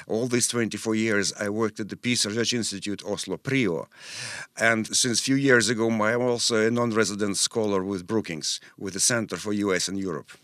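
A man in his fifties from Russia, speaking, answers interview questions thoughtfully, pausing with fillers, in a studio. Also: on the low side, steady tone, a bit bright, dry recording. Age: 50s. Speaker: guest expert.

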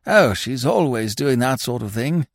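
A man's voice: medium pitch, lively intonation, a little slow, dry recording, clean studio sound, voice pushed up. A man voicing a fussy, absent-minded old lord in an audiobook, with a stammering, blurting way of talking. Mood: apologetic, affable.

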